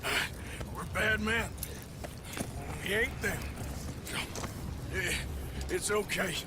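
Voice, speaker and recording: Laconic American gunman, game drama: slow, plain-spoken, noisy setting. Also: sits high. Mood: awkward, reassuring. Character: gruff, laconic outlaw gunman with a decent streak. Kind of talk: dramatic game cutscene acting.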